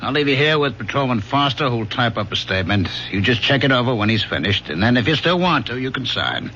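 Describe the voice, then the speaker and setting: Brusque, swooping, bright police lieutenant. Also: male voice, medium pitch, very animated, fast talker. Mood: businesslike. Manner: brusque, colloquial, sarcastic. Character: exasperated, world-weary male police lieutenant, brusque and sarcastic. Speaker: actor in a radio drama. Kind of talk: radio drama acting. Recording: muffled old radio broadcast, clear hiss.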